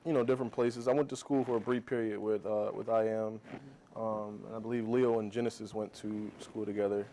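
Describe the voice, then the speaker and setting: A man's voice: lowish voice, level tone, fairly quick, mellow, slight background noise, low-quality recording. A man in his twenties, a singer guesting on a talk show, answering in a laid-back, hesitant way. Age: 20s.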